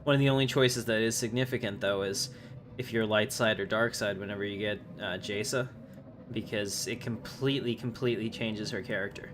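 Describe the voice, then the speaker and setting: A man's voice: on the low side, fairly even intonation, brisk. A man giving casual, chatty game livestream commentary, rambling along in slangy talk. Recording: clearly noisy livestream audio.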